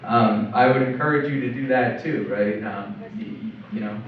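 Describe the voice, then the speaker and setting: A man's voice: medium pitch, slight background noise. Upbeat young man, echoey distant panel mic. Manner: upbeat, quick, says right a lot. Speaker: engineering panelist, professor. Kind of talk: panel talk to students. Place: college cafe panel. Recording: roomy, distant, dull.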